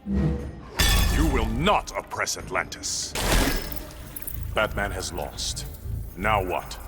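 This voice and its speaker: A man's voice: fairly low pitch, sing-song, very slow, loud music behind the voice, exaggerated voice. An actor playing a proud, stern undersea warrior king in a video game, with a regal, defiant, formal way of speaking. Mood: stern defiance.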